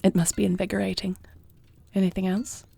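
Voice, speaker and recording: Woman acting a mellow, earnest young dragon queen. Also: medium pitch, flat delivery, brisk, creaky, husky. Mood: curious, warm. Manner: earnest, formal, softly intimate. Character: compassionate young dragon queen, self-doubting warrior bride. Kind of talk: scripted fantasy audio roleplay.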